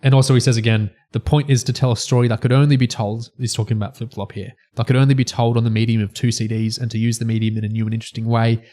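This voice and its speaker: A man co-hosting a podcast discussion, speaking haltingly, phrase by phrase. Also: mid-range voice, little pitch movement, dark tone. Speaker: podcast co-host.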